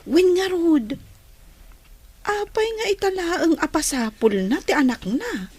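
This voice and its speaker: An actress plays a chatty, teasing young woman in a radio drama. Her voice is high and is recorded dry, with little room around it. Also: very animated, measured pace, a little mellow, no room sound, exaggerated voice. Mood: gossipy. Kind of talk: Ilocano radio drama acting.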